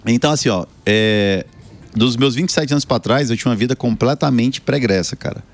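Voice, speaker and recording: A man's voice: medium pitch, fairly quick. A man in his forties from Brazil, a guest author talking earnestly about his book and life, often ending with "né?". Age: 40s. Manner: earnest, motivational, many "né?" tags. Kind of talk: casual talk show chat.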